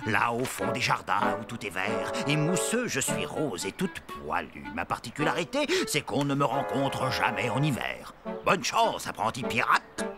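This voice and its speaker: A man's voice: medium pitch, sing-song, a little slow. A man voicing a clownish elephant dad who plays a parrot, theatrical and silly, in a French children's cartoon. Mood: theatrical riddling. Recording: music behind the voice.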